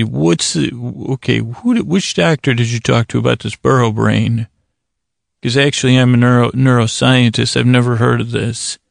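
A man hosting a sleep podcast, rambling in a halting, meandering way, repeating words and restarting his sentences. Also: mid-range voice, gravelly, dry recording, studio quality. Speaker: podcast host. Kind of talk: rambling bedtime monologue to lull listeners to sleep.

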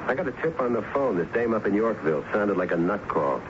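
Police lieutenant, radio drama: patient, courteous, halting, on the low side, dull broadcast sound. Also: male voice, very animated, background noise. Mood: puzzled. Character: polite, steady male police lieutenant, a detective. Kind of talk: 1970s radio drama acting.

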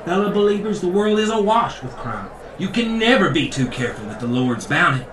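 Pompous preacher, wildly animated delivery. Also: male voice, sits high, a little fast, a little hiss or hum. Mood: blustering. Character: pompous, indignant, crooked male church leader. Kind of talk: comedic audio drama sermon. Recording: echoey room, distant, crowd behind.

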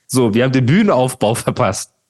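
A man's voice: medium pitch, very animated, fairly quick, a little mellow. A male podcast host ranting and mocking in casual, slangy chat.

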